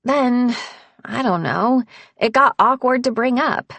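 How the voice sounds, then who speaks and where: A woman voicing a bubbly, fast-talking young woman who is the narrator's best friend, chattering with cheer. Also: fairly high pitch, vocal fry, a bit bright, dry recording, voice pushed up, exaggerated voice. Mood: nervous. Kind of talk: audiobook character voice.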